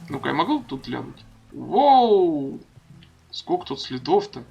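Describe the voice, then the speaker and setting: A man's voice: on the high side, very animated, unhurried, vocal fry, a little mellow, slightly rough, dull audio, soft background music. A man giving casual let's play commentary, reading game subtitles aloud in an informal, crude way. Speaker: gamer narrating playthrough.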